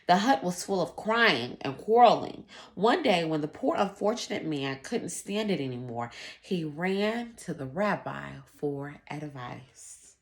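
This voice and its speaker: A woman's voice: lowish voice, lively intonation, unhurried. A woman schoolteacher reading aloud and teaching children, instructive and emphatic, addressing them directly. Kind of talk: children's read-aloud lesson.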